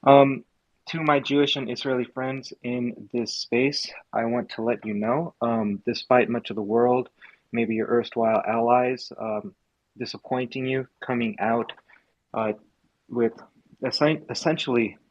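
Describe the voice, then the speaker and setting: A man's voice: medium pitch, dry recording. A young man from Indiana speaking in a live audio space, giving earnest, emphatic political commentary with many filler ums. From Indiana, USA. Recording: dull lo-fi mic, dry.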